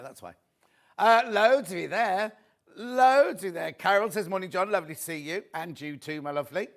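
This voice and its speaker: A man hosting a live shopping show, chatty and friendly, reading out viewer messages with a slightly croaky voice. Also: sits high. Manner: chatty, reads viewer messages aloud warmly. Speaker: shopping channel host.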